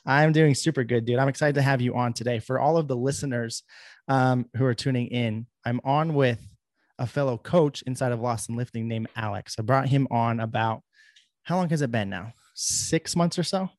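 A young man hosting a fitness podcast, talking in an upbeat, energetic way with plenty of fillers. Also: medium pitch, normal speed, no echo, clean studio sound. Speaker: podcast host. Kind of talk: casual podcast chat.

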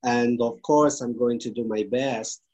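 Brazilian-accented man guest, slow and husky, earnest. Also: mid-range voice, fairly even intonation, a little mellow. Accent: Brazilian Portuguese. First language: Portuguese. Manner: earnest, halting English with fillers. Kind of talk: interview answers.

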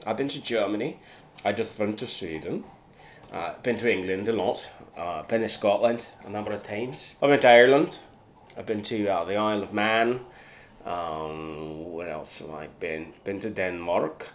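Young Canadian street performer, very low voice; easygoing interviewee, animated and emphatic. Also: male voice, moderate pace, a little hiss or hum. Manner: animated, builds to emphatic points with repeats. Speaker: street performer and escape artist. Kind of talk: relaxed conversational interview.

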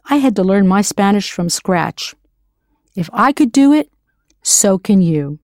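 A woman whose first language is English, narrating an audio language lesson in a clear, encouraging way, slowing down to model pronunciation. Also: mid-range voice, animated, a little mellow, no room sound, studio quality. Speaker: language teacher.

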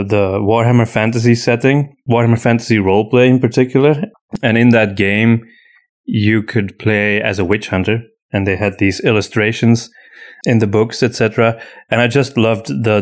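A man talking casually and unscripted as a podcast host, often halting and repeating himself as he searches for words. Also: on the low side. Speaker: podcast host and story writer answering listener questions.

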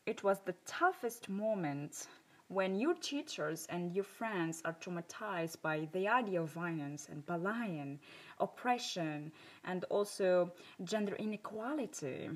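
A woman's voice: fairly low pitch, lively intonation, a little slow. A woman with a Moroccan accent hosting a self-improvement podcast, telling her personal story in an earnest, emphatic way.

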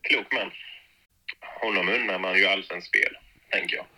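A man co-hosting a podcast, chatting in a measured, thoughtful way in short phrases. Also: sits low, sharp tone, poor audio. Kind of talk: casual chat.

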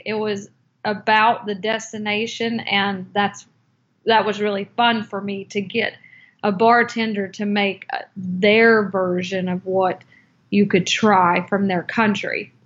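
A woman's voice: medium pitch, steady tone, takes it slowly, fairly bright tone. A woman answering interview questions about cocktails and her book, speaking hesitantly with many fillers and restarts. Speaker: guest, cocktail book author. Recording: dull lo-fi audio.

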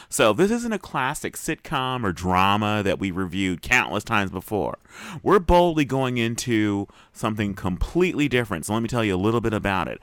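A man co-hosting a casual review show, chatting in an animated manner. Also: on the low side, no echo. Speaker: podcast co-host reviewing TV shows.

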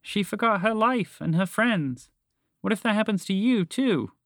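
A man voicing a small male talking mouse in a storybook, anxious, excitable and emphatic. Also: sits high, takes it slowly, creaky, no echo, studio quality, pitched above the performer's own voice, exaggerated voice. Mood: worried.

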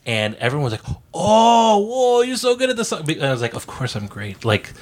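A man co-hosting a casual podcast, telling stories with boastful, deadpan jokes. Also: a little high, brisk. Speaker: podcast co-host.

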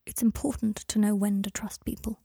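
A woman voicing a proud but fragile wizard father, wry and refined, tender with his son and quick to defend himself. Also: mid-range voice, level tone, creaky, mellow, breathy edge, no room sound, clean studio sound.

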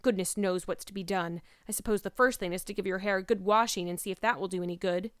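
A woman voicing a stern, exasperated older guardian in a storybook, with a brisk, sarcastic, scolding manner. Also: mid-range voice, smooth, no echo, clean studio sound, close to an everyday voice. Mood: severe.

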